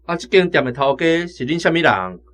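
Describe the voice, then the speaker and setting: An actor playing a hot-tempered, cheeky young soldier in a radio drama, blunt and cocky. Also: male voice, on the high side, big pitch swings, brisk, fairly bright tone. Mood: casual. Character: hot-tempered, cheeky young male army conscript on errands. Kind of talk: Taiwanese-language radio drama acting.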